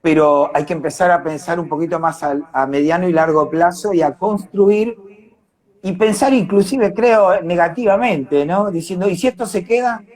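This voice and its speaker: A man's voice: sits high, normal speed, a little mellow. An Argentine man speaking earnestly and discursively in a reflective live online conversation. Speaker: independent arts worker.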